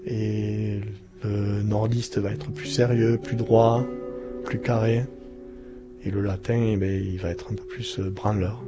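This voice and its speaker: A man's voice: fairly low pitch, level tone, takes it slowly. A man with a southern French accent musing hesitantly about how others see him. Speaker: interviewee. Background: music.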